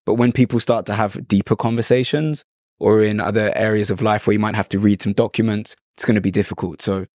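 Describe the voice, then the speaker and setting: A man's voice: lowish voice, fairly even intonation, a little fast, a little mellow, no room sound, studio quality. A man from Britain hosting an interview, chatting conversationally and adding his own stories. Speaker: host. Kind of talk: casual interview chat.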